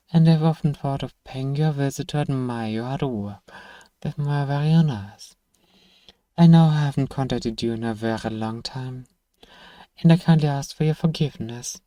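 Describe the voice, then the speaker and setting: Male voice for fantasy ASMR roleplay, gruff but kind apothecary, mid pitch, warm and relaxed, dry close recording. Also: dry recording. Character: gruff but soft-hearted apothecary, reading a letter aloud, exasperated. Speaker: audio roleplay performer. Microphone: close, dry microphone.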